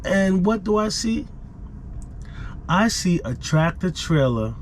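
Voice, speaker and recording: Slow-paced man telling a casual vlog story. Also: high-pitched, big pitch swings, a little hiss or hum. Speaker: vlogger, truck driver. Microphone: action camera microphone.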